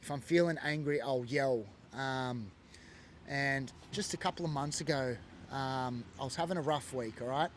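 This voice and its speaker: A man's voice: mid-range voice, normal speed, slight background noise. An Australian man from Sydney, telling a personal story in an earnest, emotional way with lots of fillers. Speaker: former biker sharing his story. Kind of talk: personal testimony monologue.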